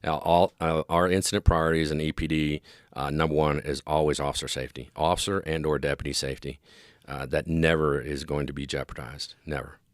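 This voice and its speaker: A man's voice: very deep voice, little pitch movement, fairly quick, no room sound, clean studio sound. A middle-aged American man explaining his field as an interview guest, earnest and emphatic in agreement. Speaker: interview guest, police dispatch specialist and former officer.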